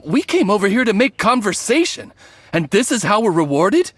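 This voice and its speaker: A sly male bandit passing as a merchant. His pitch swings in an animated, ingratiating way, a video game character with faint noise behind. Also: normal speed. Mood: indignant. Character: smooth-talking male bandit posing as friendly merchant.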